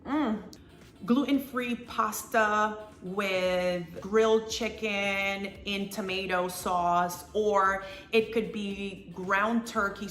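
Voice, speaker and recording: A woman's voice: mid-range voice, fairly bright tone, roomy sound. A woman from the Dominican Republic with a Dominican accent, chatting enthusiastically about her favorite food in a casual vlog. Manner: upbeat, enthusiastic recommendations. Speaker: lifestyle video creator.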